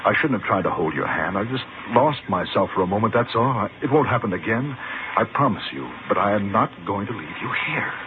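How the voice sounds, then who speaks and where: An American actor playing an anxious, earnest, polite city gentleman in a 1950s radio western. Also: on the low side, animated, brisk, natural voice. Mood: pleading, insistent. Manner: pleading, insistent, polite. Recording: tinny, noisy 1950s radio broadcast recording.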